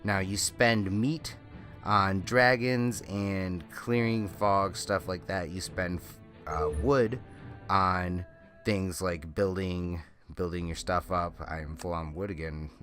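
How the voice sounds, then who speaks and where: Deep-voiced, slightly congested man. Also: fairly even intonation, slow pace, fairly bright tone. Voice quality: slightly nasal from a cold. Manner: rambling, self-correcting explanations. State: congested with a lingering cold. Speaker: gaming channel host. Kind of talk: casual game walkthrough commentary. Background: faint music.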